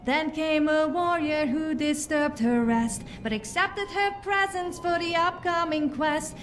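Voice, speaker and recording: A woman's voice: high voice, a little slow, faint music behind. A woman playing a cheeky, playful young female adventurer in a video game. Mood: jaunty singing. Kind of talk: video game character dialogue.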